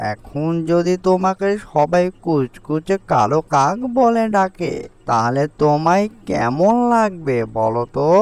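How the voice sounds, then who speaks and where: A man voicing a wise, fair-minded elder uncle in a cartoon, questioning and admonishing in a measured way. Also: on the high side, smooth, dry recording. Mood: stern, lecturing. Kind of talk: cartoon character dialogue.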